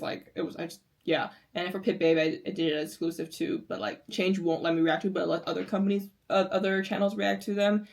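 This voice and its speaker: Rambling American woman reactor. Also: on the low side. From United States. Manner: rambling, lots of filler "like". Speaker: series reactor. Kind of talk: casual reaction commentary.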